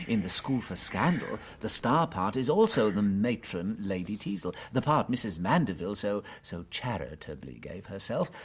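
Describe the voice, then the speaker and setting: An English actor playing a gentle, unworldly but shrewd priest detective in a radio drama, hesitant and politely musing. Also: male voice, on the low side, a little hiss or hum. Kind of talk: full-cast radio drama acting.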